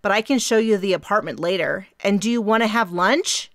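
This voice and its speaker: A woman voicing a bubbly, chatty young woman with a faint Minnesota accent who talks fast in clipped phrases. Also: medium pitch, fairly bright tone, dry recording, clean studio sound, voice pushed up, close to an everyday voice. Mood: excited. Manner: fast, clipped, round vowels, bouncy.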